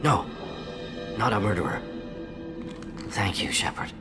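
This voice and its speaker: An actor playing an older alien scientist in a video game, talking in clipped, telegraphic fragments. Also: male voice, fairly low pitch, expressive, unhurried. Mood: grim resolve. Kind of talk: dramatic video game acting. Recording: music behind the voice.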